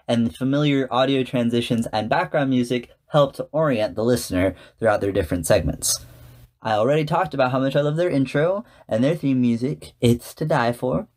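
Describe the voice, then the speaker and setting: Male podcast critic, medium pitch, scripted and articulate, enthusiastic delivery with a touch of theatre. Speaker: podcast host and reviewer.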